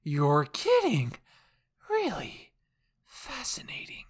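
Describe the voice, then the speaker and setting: A man's voice: high voice, huge pitch swings, slow pace, husky, pitched above the performer's own voice, exaggerated voice. A man voicing an eccentric scientist in an anime fan story, gushing and insistent with theatrical eagerness. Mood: excited, prying. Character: eccentric, excitable scientist, obsessed with experiments.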